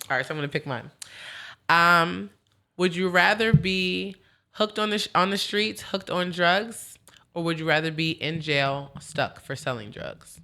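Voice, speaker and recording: Bright chatty lady hosting a podcast, laughs a lot, says stuff twice. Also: low voice. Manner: chatty, laughs often, repeats herself. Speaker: podcast host. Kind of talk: casual podcast chat.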